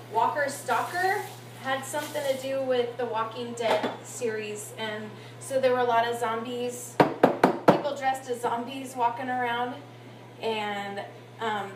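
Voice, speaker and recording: An American woman talking casually in a personal video blog, telling her story in a halting, rambling way. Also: fairly high pitch. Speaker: video blogger, amateur singer. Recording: echoey room, faint background noise.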